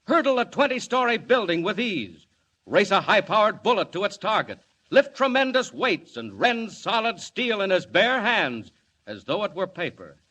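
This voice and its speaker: A man's voice: sits high, sing-song, normal speed, sharp tone. A man with an American accent narrating a 1940s radio serial in a grandiose, theatrical, emphatic announcer's style. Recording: vintage 1940 radio broadcast.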